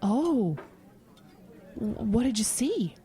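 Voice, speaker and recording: A woman's voice: on the high side, big pitch swings, takes it slowly, warm tone. An actress playing a friendly, inquisitive woman co-worker, chatting in an audio drama. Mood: intrigued. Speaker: supporting actress.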